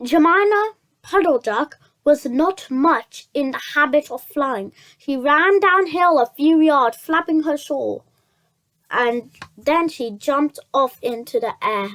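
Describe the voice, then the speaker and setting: Storybook reader, high pitch, very unhurried, halting delivery with repeated words. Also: expressive, a bit bright. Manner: halting, stumbles over words and repeats phrases. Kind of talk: children's story read aloud.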